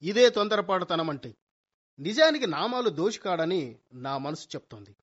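An actor playing a cocky young amateur detective son in a radio comedy, speaking with grandiose courtroom flourishes and English phrases mixed in. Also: male voice, high voice, very animated, normal speed, fairly bright tone, exaggerated voice. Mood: confident, insistent. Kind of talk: Telugu radio comedy play acting. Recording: dull lo-fi radio recording.

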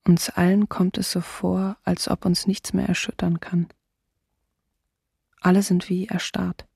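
A woman playing a numb diarist in an occupied city, creaky and husky, in a radio docudrama. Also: lowish voice, flat delivery, a little slow, darkish tone, dry recording, clean studio sound, full character voice. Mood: numb, subdued. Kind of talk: radio docudrama diary reading.

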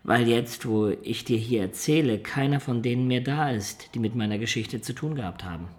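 A man's voice: mid-range voice, moderate pace, darkish tone, natural voice. An actor playing a wistful adventurer in a radio drama, recounting his life in a reflective, confiding way. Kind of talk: radio drama acting and storytelling.

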